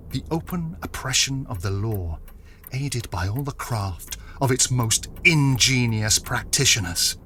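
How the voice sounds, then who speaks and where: A man plays a haggard gentleman set on revenge in a Victorian audio drama. His mid-pitched voice is hard and forceful, menacing and deliberate, with some background noise. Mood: ruthless. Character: cold, vengeful, haggard gentleman bent on ruin.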